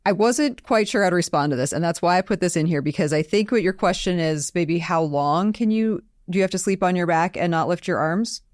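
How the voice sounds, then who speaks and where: Quick-paced woman, American accent, chatty and explanatory, dry recording, health podcast co-host. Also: lowish voice, no room sound. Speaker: podcast co-host and nutritional therapy practitioner. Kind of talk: conversational health Q&A.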